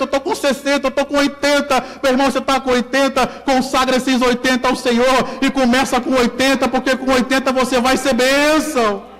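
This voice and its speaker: A man's voice: very high-pitched, a bit bright, big-room sound. A man from Brazil with a Carioca accent, preaching a sermon as pastor, repeating key lines and questioning the congregation. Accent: Carioca Brazilian Portuguese. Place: church hall.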